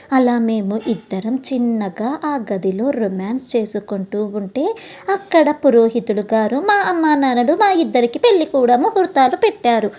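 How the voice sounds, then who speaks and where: A woman narrates a romantic tale in the first person. Her voice sits fairly high, with a very mellow, dark-edged tone. She tells it expressively, addressing her listeners directly as a storyteller. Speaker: storyteller, channel host.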